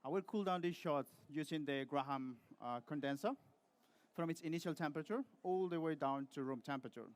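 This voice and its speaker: A man from Peru giving a rehearsed barista competition presentation to judges, speaking in a measured way with short pauses. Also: on the high side.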